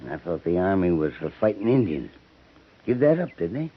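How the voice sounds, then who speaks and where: Grumbling stubborn homesteader, animated voice, thin hissy old recording. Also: male voice, low-pitched, a little fast, slightly rough, full character voice. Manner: grumbling, drawling complaint. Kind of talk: 1950s radio drama acting. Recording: thin phone-like old radio recording, faint hiss.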